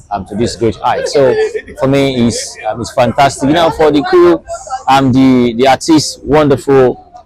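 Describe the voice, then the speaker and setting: A man with a Nigerian accent giving an unscripted interview review, halting, repetitive and emphatic. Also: medium pitch, darkish tone, low-quality recording. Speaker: audience member giving a review.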